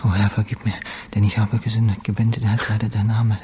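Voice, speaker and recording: An actor in a German radio drama playing a guilt-ridden, devout and unhinged musician who speaks in a halting, repetitive murmur. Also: male voice, lowish voice, little pitch movement, warm tone, husky. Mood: praying, hushed. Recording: roomy space with long reverb.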